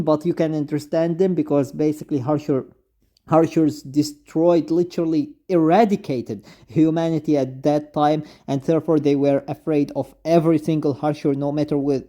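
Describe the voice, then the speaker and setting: Very dark, mellow-voiced halting video commentator. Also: medium pitch. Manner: halting, repetitive, often says basically and actually. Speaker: video host, game commentator. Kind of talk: informal explanatory commentary on game voice lines.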